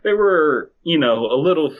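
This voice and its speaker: A man's voice: a little high, animated, moderate pace, phone-quality sound. A man co-hosting a podcast, riffing on amateur stories in casual, mock-serious comedic banter. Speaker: podcast co-host.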